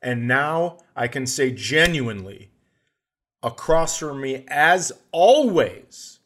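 Guy hosting a comedy podcast, normal pitch, sarcastic, just riffing casually. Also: very animated, slow pace, fairly bright tone. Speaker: podcast host.

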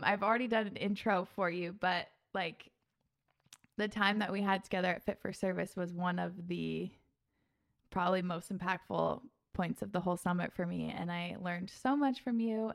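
A woman's voice: on the low side, steady tone, moderate pace, vocal fry. A woman in her twenties hosting a podcast, talking in a bubbly, gushing way with lots of laughter and fillers. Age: 20s. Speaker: podcast host. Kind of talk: casual podcast chat.

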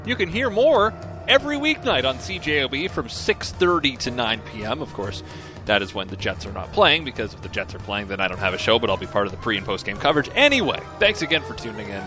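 A man's voice: mid-range voice, sing-song, quick pace, music in the background. A man hosting a radio sports show, asking questions in a conversational way and signing off the show. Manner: conversational, upbeat sign-off.

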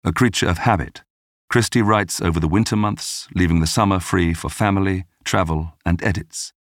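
A man narrating a scripted documentary podcast in a measured, polished storytelling manner. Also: sits low, no room sound, studio quality.

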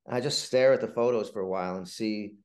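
A man talking casually as an interview guest, telling anecdotes about his writing work in a halting way with stammered restarts. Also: lowish voice, a little mellow. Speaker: guest, playwright and TV writer.

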